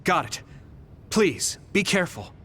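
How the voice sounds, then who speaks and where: High-voiced, animated young game hero. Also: male voice, unhurried, slight background noise, heavily acted. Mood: grateful, apologetic. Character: earnest, polite young male hero. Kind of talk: anime-style video game acting.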